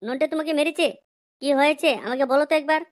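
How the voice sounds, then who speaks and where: A woman plays a concerned young village lad in a comedy cartoon. The voice is artificially high and very fast, familiar and inquisitive, with music clearly playing behind it. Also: steady tone, lightly acted. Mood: curious, concerned. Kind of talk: amateur comedy cartoon dubbing. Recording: music under the voice.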